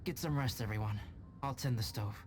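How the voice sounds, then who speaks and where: Young scholar-alchemist for a game, male actor: composed, polite, formal phrasing, medium pitch, music behind. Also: a little fast, lightly acted. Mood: gentle. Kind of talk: video game cutscene voice acting.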